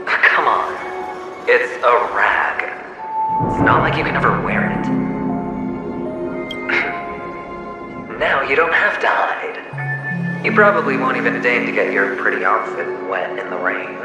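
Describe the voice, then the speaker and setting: An actor playing the mocking inner self of a cunning gambler in a video game, with a sardonic, theatrical delivery and an echo effect. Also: male voice, mid-range voice, lively intonation, takes it slowly, long pauses. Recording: heavily echoing, with music behind.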